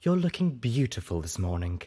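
A man as a smooth, refined lady unicorn. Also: mid-range voice, big pitch swings, moderate pace. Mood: gentle. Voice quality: smooth, warm. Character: refined female unicorn, smooth, gently seductive, polite.

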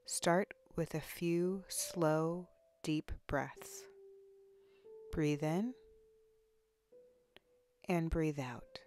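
Slow, low-pitched woman guiding relaxation. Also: darkish tone, faint music behind. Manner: soothing, unhurried, instructional, with long pauses. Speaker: guided relaxation narrator, counselor. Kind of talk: guided body scan meditation.

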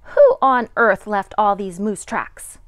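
A middle-aged woman, a kindergarten teacher, reading a picture book aloud to young children with deliberate, sing-song dramatic emphasis. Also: mid-range voice, big pitch swings, dry recording, studio quality.

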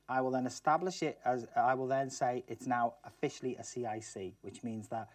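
A man's voice: mid-range voice, dry recording. A middle-aged man from Liverpool with a Liverpool accent, giving a chatty talk to students and asking them questions. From Liverpool, England. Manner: chatty, asks the audience questions. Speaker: guest speaker. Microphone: far camera mic, no mic seen. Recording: distant, dry studio sound.

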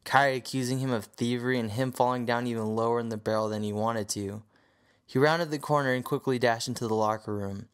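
A man's voice: medium pitch, steady tone, brisk, clear, resonant tone. A man reading scripted story narration as the narrator of an audio drama.

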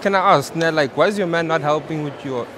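A man's voice: on the high side, a little fast, a crowd in the background. A man in his thirties with a South African accent, hosting a playful prank vlog in a shopping mall. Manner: cheeky, playful, hyped when talking to camera. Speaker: vlogger host. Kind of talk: prank pickup-line vlog. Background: mall background music.

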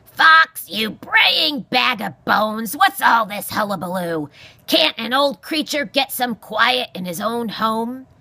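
A woman's voice: medium pitch, sing-song, unhurried, gravelly, bright, forceful tone, slightly rough, no echo, voice pushed up, full character voice. A woman voicing a grumpy old male porcupine in a storybook, barking scornful insults in a growling bluster. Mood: furious.

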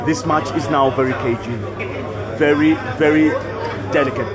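High-voiced Kenyan man doing pool commentary. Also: steady tone, normal speed. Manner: chatty, greets viewers by name between shots. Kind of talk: live sports commentary for a stream. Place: pool tournament venue in Nairobi. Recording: loud crowd noise all around. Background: loud crowd.

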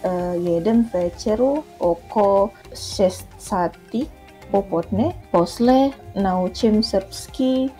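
A young woman from Indonesia, a beginner speaking haltingly on a video call with many pauses and fillers. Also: mid-range voice, mellow. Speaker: language learner. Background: music.

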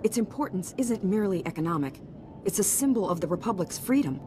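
An actress playing a stern, formal senator with authoritative oratory in a video game. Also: female voice, animated, quick pace, close to an everyday voice. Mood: stern urgency. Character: stern, commanding female senator, pressed for time. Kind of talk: video game cutscene acting. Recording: noticeable rumbling background noise.

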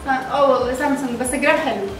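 A woman's voice: high-pitched, racing, music in the background. A young Egyptian woman chatting casually to camera and to children, exclaiming and repeating herself, with an Egyptian Arabic accent. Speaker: host of a family toy-unboxing vlog, mother. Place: tiled living room at home, indoors. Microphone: far camera. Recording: very echoey, distant mic, noisy room.

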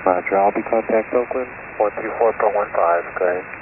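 A man with a lowish voice works as an air traffic radio operator. He speaks in clipped procedural readbacks. The HF radio makes him thin and phone-like, with clear hiss around him. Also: steady tone, fairly quick.

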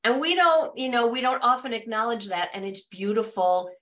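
A woman's voice: on the high side, expressive, normal speed. A middle-aged woman hosting a podcast interview over a video call, speaking in an encouraging way. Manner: warm and encouraging. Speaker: podcast host. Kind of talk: casual interview talk. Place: home office with bookshelves.

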